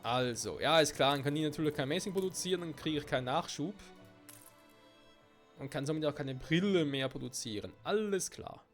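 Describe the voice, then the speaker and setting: Guy with a stuffed nose muttering and swearing while he plays, bit high voice, faint game music behind. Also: lively intonation, a little fast. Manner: swearing, muttering to himself, thinking aloud. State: stuffy-nosed with a cold. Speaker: let's play gamer. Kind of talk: casual gameplay commentary.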